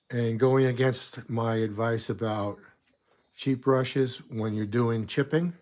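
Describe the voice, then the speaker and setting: A man's voice: fairly low pitch, a little mellow, muffled recording. A man hosting a casual hobby weathering tutorial at his desk, chatty and rambling, a bit stuffy from a coming cold. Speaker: model weathering host.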